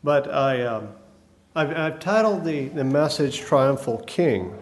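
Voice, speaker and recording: A man preaching a sermon, hoarse from a cold, talking in a folksy, halting way with many fillers. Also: a little high, lively intonation, a little hiss or hum.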